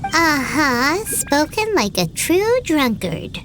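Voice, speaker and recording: A woman playing a tiny, cheeky, sceptical fairy girl in a video game, with a squeaky childlike voice. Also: big pitch swings, slow, a little hiss or hum, full character voice. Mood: snarky. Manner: cheeky, refers to self in third person. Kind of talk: English video game voice acting. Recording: clean game audio under loud music.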